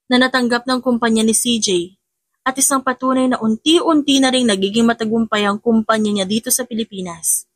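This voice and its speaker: A woman's voice: fairly high pitch, a bit bright, dry recording. A woman audiobook narrator reading a scripted romance story.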